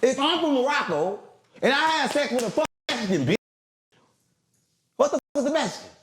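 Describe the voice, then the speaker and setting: A man talking as an interview guest in casual, rambling chat, animated and repeating his catchphrases. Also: very high-pitched, brisk, background noise.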